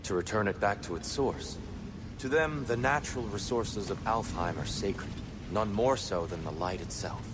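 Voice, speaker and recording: A man acts out a wise, learned guide in a mythic video game. His voice sits low, and he explains things with formal, measured composure. Music plays clearly underneath. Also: natural voice. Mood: measured, explanatory. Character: wise, scholarly, composed male guide of myth.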